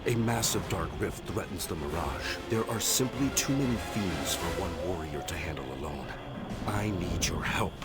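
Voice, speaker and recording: An actor playing an earnest, personable martial arts warrior in a video game, with an expressive delivery. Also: male voice, sits low, moderate pace. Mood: earnest appeal. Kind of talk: scripted video game cutscene acting. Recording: loud music behind the voice.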